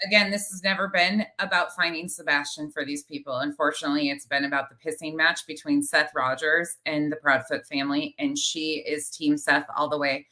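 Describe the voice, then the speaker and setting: A woman's voice: low-pitched, level tone, a little fast, few pauses, sharp tone, poor audio. A woman host giving exasperated, critical live commentary, with some swearing. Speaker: host of a true crime commentary show. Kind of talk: live commentary on played clips.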